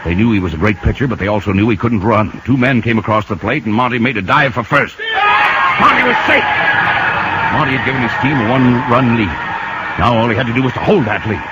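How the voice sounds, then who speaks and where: A man's voice: medium pitch, big pitch swings, fairly quick, close to an everyday voice. An actor playing a folksy man who narrates a baseball story dramatically in a 1950s radio drama. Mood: excited, suspenseful. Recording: noisy, muffled old radio recording.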